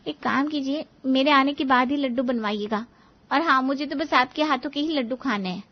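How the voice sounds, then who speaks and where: Fussy, demanding young married daughter acted by a woman, high-pitched, insistent, family story, dull audio. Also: brisk, lightly acted. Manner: insistent, complaining. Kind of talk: Hindi family story dramatization.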